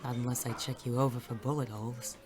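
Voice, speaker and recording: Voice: mid-range voice, level tone, quick pace, a little mellow, faint music behind, lightly acted. An actor playing a weary, cynical hard-boiled private detective in a noir audio drama, wry and sardonic. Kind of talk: 1940s noir audio drama acting and narration.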